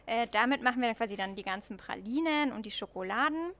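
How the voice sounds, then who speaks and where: A woman's voice: fairly high pitch, animated, fast talker, a bit bright. A woman in her late twenties, a chocolatier, chatting in a friendly way about her workshop's machines. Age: 20s. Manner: friendly, chatty descriptions with fillers. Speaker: confectioner and chocolatier. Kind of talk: guest describing her workplace.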